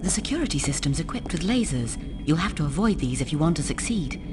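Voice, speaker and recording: An actress playing a cool, helpful secret agent in a video game, giving composed, scripted instructions to a partner. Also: female voice, low-pitched, very animated, quick pace, over music. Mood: focused, briefing. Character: cool, helpful female spy agent briefing her partner.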